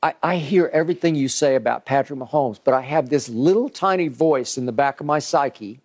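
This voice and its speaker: A man hosting a sports debate, musing aloud and reciting records in a measured way. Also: mid-range voice, a little mellow. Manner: measured, musing, recounts numbers. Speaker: host.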